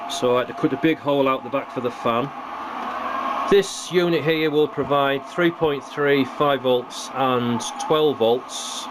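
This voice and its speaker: A man's voice: mid-range voice, a little slow. A British man casually narrating a hands-on hobby demonstration as he presents his model railway build. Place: indoor hobby workbench. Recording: noisy room recording with hum.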